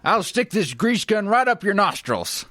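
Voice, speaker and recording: A man's voice: high-pitched, big pitch swings, a little slow, sharp tone, no echo, clean studio sound, exaggerated voice. An actor playing a pompous, fussy Old West tool collector in a comedy radio play, boastful and over-precise in his wording. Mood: combative, boasting.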